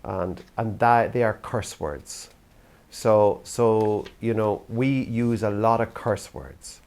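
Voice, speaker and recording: A man's voice: on the low side, steady tone, slow pace, a little mellow. An older Irish man from the theatre world gives an earnest, thoughtful talk to camera. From Ireland. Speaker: youth theatre practitioner.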